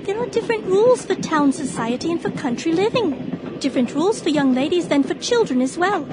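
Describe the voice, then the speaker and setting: Casting: fussy, affectionate titled Victorian widow for radio drama, high-pitched, formal and chatty. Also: female voice, animated, fairly quick, noisy background. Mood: scolding. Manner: genteel, chatty, formal diction. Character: genteel, kindly, fussy Victorian widowed aunt, a titled lady. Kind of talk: period radio drama acting.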